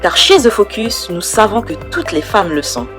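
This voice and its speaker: A woman voicing a scripted advertisement, smooth and even as she pitches a product. Her pitch sits in a middling range. Soft music plays faintly behind her. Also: normal speed. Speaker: ad voice-over. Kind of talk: scripted promotional read.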